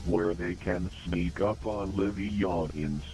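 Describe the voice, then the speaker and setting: Guy doing formal documentary warning narration, lowish voice, measured and impassive. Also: creaky, dark tone. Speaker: narrator of a mock public warning video. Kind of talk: scripted documentary-style announcement narration.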